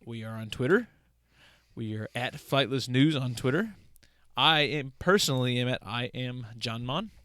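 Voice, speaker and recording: A man's voice: mid-range voice, expressive, moderate pace, sharp tone, no echo, clean studio sound. A man hosting a podcast with casual, joking and teasing banter. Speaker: podcast host.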